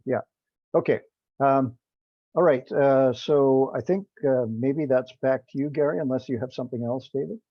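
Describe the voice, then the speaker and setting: Halting man on dull call audio. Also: medium pitch, no room sound. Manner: halting, hesitant. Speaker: meeting participant explaining software. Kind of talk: informal technical discussion.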